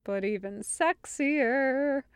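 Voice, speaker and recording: A woman's voice: high-pitched, very slow, clean tone, dry recording, clean studio sound, pitched above the performer's own voice. A woman voicing a cheeky, coaxing male companion in an audiobook story. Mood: cheeky muttering. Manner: coaxing, sly. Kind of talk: audiobook character acting.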